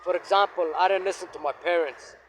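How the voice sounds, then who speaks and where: A man in his fifties from Afghanistan, telling his life story to his phone camera while walking in an outdoor park, speaking in a halting, reflective way with many fillers. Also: sits high, fairly even intonation, fairly bright tone, slight background noise, poor audio. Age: 50s. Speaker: vlogger. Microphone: phone held facing himself.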